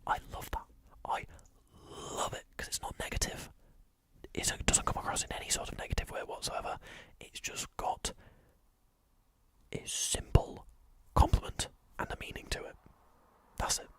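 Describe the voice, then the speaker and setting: A young man talking to his chat in a soft, rambling whisper on an ASMR stream, often repeating himself. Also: moderate pace, no room sound.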